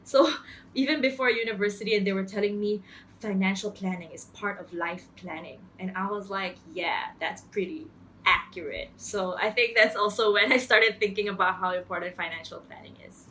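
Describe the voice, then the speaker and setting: A woman's voice: medium pitch, moderate pace, sharp tone, slight background noise, poor audio. Hesitant young woman. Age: young adult. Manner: hesitant, with many filler likes. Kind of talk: casual interview answer.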